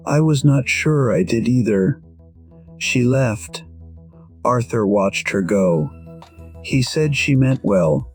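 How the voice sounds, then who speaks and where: A man with a husky voice narrating a scripted first-person story in a measured, reflective manner. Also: medium pitch, darkish tone.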